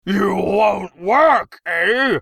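A man's voice: high voice, lively intonation, vocal fry, fairly bright tone, husky, no room sound, shouting, clean studio sound, voice pushed up, full character voice. A man voicing an ugly, pompous gnome emperor who rants furiously and draws out his insults in a storybook reading. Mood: screaming fury. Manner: bellowing, ranting, drawn-out insults.

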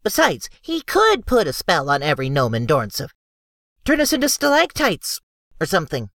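A man voicing a timid, stubborn male gnome servant in a high, whiny voice. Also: wildly swooping pitch, normal speed, vocal fry, a bit bright, no room sound, clean studio sound, exaggerated voice. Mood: fretful.

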